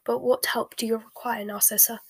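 Casting: woman narrator playing grave, curt man, a spy, measured and emotionally closed, slightly husky, storybook. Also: no echo. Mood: cool, controlled. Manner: flat, low, measured. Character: cold, controlled male spy, grave and curt.

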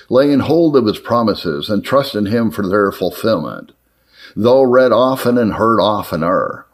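A man reading an old formal letter aloud as a narrator, in an earnest, measured way. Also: fairly low pitch. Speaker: narrator reading historical religious writings.

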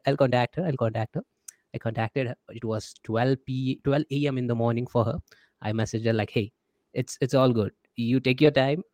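A man's voice: medium pitch, a little mellow, no room sound. A man with an Indian accent hosting a casual interview, chatty, often repeating his words and acting out the messages he quotes. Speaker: podcast host.

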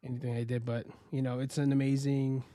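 A man's voice: medium pitch, a little fast, no echo. Young man chatting loosely, dry sound. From United States. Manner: relaxed, rambling, many fillers and restarts. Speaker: podcast guest. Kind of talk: casual interview chat.

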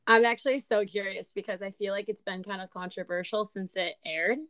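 A woman in her twenties co-hosting a podcast, chatting casually and animatedly, her voice a little raspy from screaming. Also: mid-range voice, brisk, no room sound, studio quality. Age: 20s. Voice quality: animated, laughs often. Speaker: podcast co-host. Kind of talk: casual chat.

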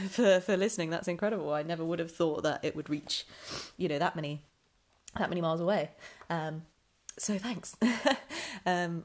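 A young woman hosting a casual solo podcast, chatty and rambling with lots of ums, sounding slightly nasal and snuffly. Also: low voice. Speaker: podcast host.

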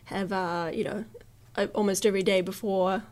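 A woman's voice: medium pitch, moderate pace. Chatty woman with a slight New Zealand accent. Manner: chatty, laughs often, animated retelling. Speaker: guest musician. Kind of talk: casual interview chat.

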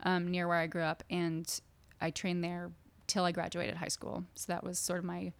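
A woman's voice: on the low side, completely flat delivery, moderate pace, creaky, clear, resonant tone, no room sound. A young adult woman from the US East Coast, chatting casually as a guest on a podcast, laughing and restarting her sentences. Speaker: guest choreographer. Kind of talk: casual chat.

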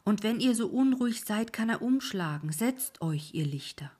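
A woman voicing an old male ferryman in a sleep story, loud and raised above her soft reading. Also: on the high side, animated, normal speed, slightly rough, voice pushed up. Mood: warning. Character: old male ferryman, patient but alarmed.

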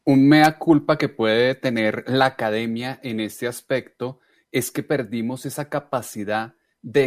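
A man moderating a panel, measured, posing a question to a guest. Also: medium pitch, no room sound, clean studio sound.

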